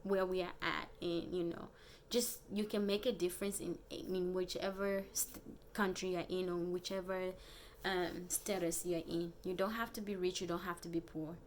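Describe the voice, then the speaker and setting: A young woman from Africa with an African accent, a native Bemba speaker, chatting casually and earnestly to viewers in a vlog, haltingly and often repeating phrases. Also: lowish voice, fairly even intonation, slight background noise. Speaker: vlogger. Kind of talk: casual personal vlog chat.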